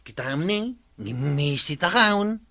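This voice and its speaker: An actor playing an easygoing village tricycle driver in an Ilocano radio comedy, with an Ilocano accent. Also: male voice, fairly high pitch, lively intonation, measured pace, gravelly, pushed and bright, full character voice.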